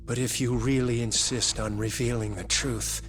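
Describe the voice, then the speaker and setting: An actor playing a grave, zealous scientist in a video game cutscene, speaking in a measured, formal, insistent way. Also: male voice, mid-range voice, steady tone, fairly bright tone, faint music behind, natural voice. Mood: insistent, cold. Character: coldly obsessed male scientist, grave and zealous. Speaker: medical researcher.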